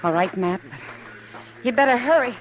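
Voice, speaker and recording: A slightly hissy old radio western features an actress as an anxious frontier townswoman. Her voice swings widely in pitch and sounds urgent. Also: animated, moderate pace, natural voice, rough recording. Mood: urgent, breathless. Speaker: radio drama actor. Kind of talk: 1950s radio western acting. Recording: hissy 1950s radio broadcast recording.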